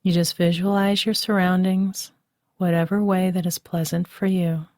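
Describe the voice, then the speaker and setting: A woman guiding a relaxation meditation, speaking softly and soothingly, recorded dry and close as a voice-over. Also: lowish voice, flat delivery, no room sound. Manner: slow, soft and soothing. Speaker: meditation guide.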